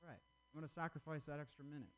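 A man's voice: on the high side, fairly even intonation, fast, slight background noise. Rambling male stand-up comic. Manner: rambling storytelling. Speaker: stand-up comedian. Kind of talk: closing a stand-up set.

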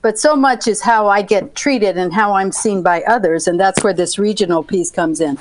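A female guest researcher with a medium-pitched voice. She talks in an explanatory, reflective interview style, stressing the points she wants noticed. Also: moderate pace, low-quality recording.

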